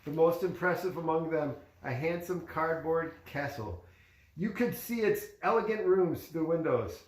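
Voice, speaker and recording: A man's voice: a little high, expressive, normal speed. A man reading a children's story aloud in a conversational way, with casual asides to a child as a parent would. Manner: conversational, pointing out pictures to a child. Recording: echoey room.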